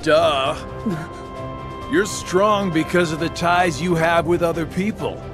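Smug, drawling middle-aged villain. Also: male voice, fairly high pitch, slow, over music. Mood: scornful. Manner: mocking, sarcastic drawl. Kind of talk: video game cutscene acting.

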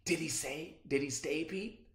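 A man voicing an earnest, excitable young farm child in a storybook reading. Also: a little high, measured pace, voice pushed up. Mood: wistful. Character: young farm child, tender, devoted to a dog. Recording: roomy echo.

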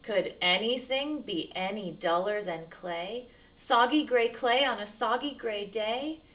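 A woman's voice: a little high, unhurried, a bit bright, far from the mic. A woman reading a rhyming picture book aloud to children as a storyteller, with dramatic, emphatic delivery.

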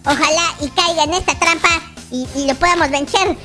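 A performer voicing an excitable cartoon baby adventurer with a squeaky childlike voice, playing through a Minecraft roleplay for children. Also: brisk, soft background music. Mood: urgent. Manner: excitable, repeats phrases. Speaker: host of a children's gaming channel.

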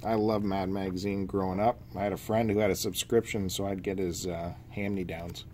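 A man's voice: low voice, little pitch movement, brisk, a little mellow. A man speaking in casual, off-the-cuff chat as he shows off purchases, recorded indoors on a handheld phone. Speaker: podcast co-host showing collection pickups. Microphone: handheld phone camera mic. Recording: noticeable background noise, amateur phone video.

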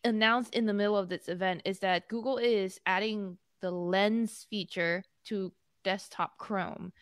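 A woman's voice: mid-range voice, fairly even intonation, measured pace, clear, resonant tone, no room sound, studio quality. A young woman co-hosting a podcast, chatting casually about tech news in a bubbly way, speaking into a mic on a video call from home. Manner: chatty, bubbly, many fillers. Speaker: podcast co-host. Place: home room, indoors. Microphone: studio mic on a video call.

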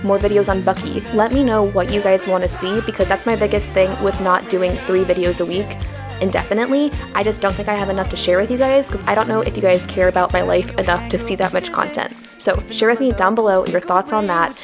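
A woman's voice: medium pitch, fairly even intonation, brisk. Chatty young woman over music. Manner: chatty, upbeat, asks viewers many questions. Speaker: lifestyle vlogger. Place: home, kitchen and bathroom. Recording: narration over loud background music.

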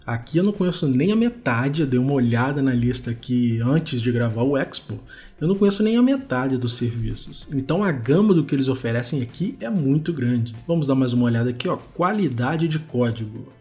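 A man's voice: medium pitch, animated, a little fast, darkish tone. A man with a Brazilian accent hosting a web development screencast, talking informally and conversationally as he demonstrates. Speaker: host of a web development video show. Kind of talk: casual screencast commentary. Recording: music behind the voice. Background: background music.

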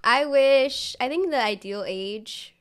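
A woman's voice: high voice, animated, slow pace, smooth. A woman in her twenties co-hosting a podcast in casual chat, getting over a cold with a dry throat, talking at a studio mic. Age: 20s. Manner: chatty, laughs easily, trailing sentences. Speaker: podcast co-host. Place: small studio room with purple lights, indoors. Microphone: studio mic on a stand with headphones.

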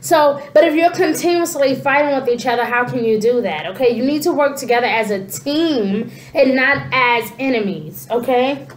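A woman in her forties, stuffy and congested, giving a casual spiritual reading to camera with animated gestures and many "you know"s. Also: high-pitched, moderate pace. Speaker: tarot reader. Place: small room indoors. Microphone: camera at desk distance. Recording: echoey room.

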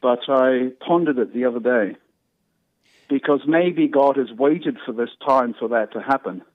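A male caller from New Zealand, with the accent to match, talks earnestly and casually, hesitating and repeating himself. His mid-pitched voice comes over a narrow, tinny phone line. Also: moderate pace, dry recording.

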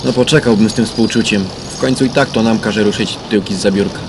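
An actor playing a world-weary, blunt police detective talking colloquially in a crime radio drama. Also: male voice, fairly low pitch, steady tone, quick pace, noisy background, lightly acted. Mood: wry, blunt.

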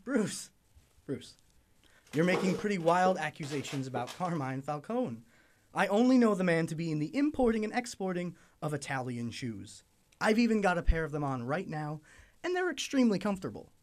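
An actor playing a smooth-talking, dismissive bank executive in a noir radio drama, glib and breezy. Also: male voice, a little high, very animated, moderate pace.

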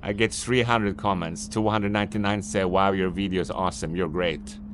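A man's voice: low-pitched, fairly even intonation, moderate pace, noisy background. A Swedish man who speaks English as a second language, giving unscripted, somewhat repetitive and mildly annoyed advice while filming on a city street. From Sweden. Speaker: vlogger, business owner. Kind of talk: unscripted vlog monologue.

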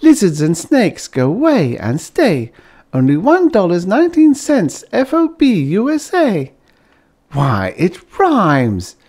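Audiobook wife played by a man: high, wildly lilting, wide-eyed, gushing and adoring. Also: wildly swooping pitch, takes it slowly, darkish tone, heavily acted. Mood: wondering. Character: chirpy, adoring young pioneer wife in a story.

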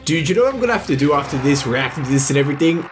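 A young man giving casual, excited, slangy live reaction commentary as a video host. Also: a little high, very fast, soft background music. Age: young adult.

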